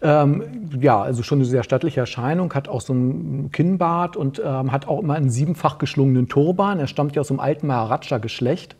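A man's voice: mid-range voice, brisk, few pauses, darkish tone, husky. A middle-aged man, an author and fan, explaining details in a casual, matter-of-fact studio chat. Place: small indoor studio set at a table.

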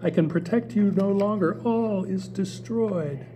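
A man's voice: high-pitched, unhurried, mellow, slight background noise, pitched above the performer's own voice. Eros, read by an older man as a betrayed god. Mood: anguished. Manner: anguished, reproachful. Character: young god of love, wounded and betrayed. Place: small theater stage with audience. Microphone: table mic.

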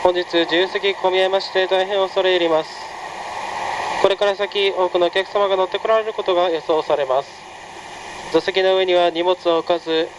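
A man's voice: sits high, little pitch movement, moderate pace. A man working as a train conductor, making a formal, courteous live announcement to passengers. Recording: noisy train PA. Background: running train and ventilation noise.

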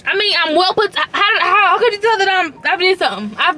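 A woman's voice: extremely high pitch, lively intonation, fairly quick, noisy background. A woman in her twenties with an American accent in a heated, casual argument in a car, accusing and insistent, repeating her questions. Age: 20s. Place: inside a parked car. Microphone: camera on the dashboard.

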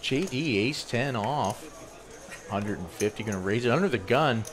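A man giving play-by-play poker commentary, calling the action briskly and matter-of-factly. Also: mid-range voice, animated, crowd noise.

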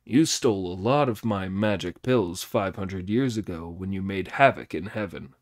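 A man's voice: deep voice, moderate pace, pitched below the performer's own voice, lightly acted. A man voicing a stern, scolding old immortal sage in an audiobook.

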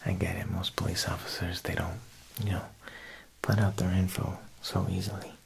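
A young man playing a smooth, quietly menacing Italian mob boss tailor in an ASMR roleplay, speaking softly and unhurriedly at a close mic, with insinuating pauses. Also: low-pitched, flat delivery, slight background noise. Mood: probing, sly. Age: young adult. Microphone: close ASMR microphone.

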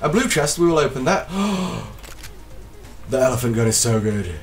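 A man with a slight cold, casually commentating his gameplay as a let's play host, excitable and self-deprecating. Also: mid-range voice, normal speed, recorded from a distance, soft background music.

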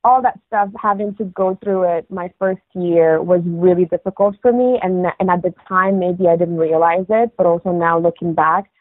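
A woman's voice: lowish voice, fairly even intonation, normal speed, hardly any pauses, dry recording. A woman from Morocco in her thirties, a guest chatting in an interview, with frequent restarts and fillers. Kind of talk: casual interview talk. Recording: dry tinny phone line.

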